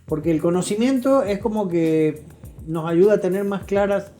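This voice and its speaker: Mellow Argentine man explaining, faint music behind. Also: sits high, normal speed. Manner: didactic, checks with short tag questions. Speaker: interview guest, psychologist. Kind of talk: explanatory conversational interview.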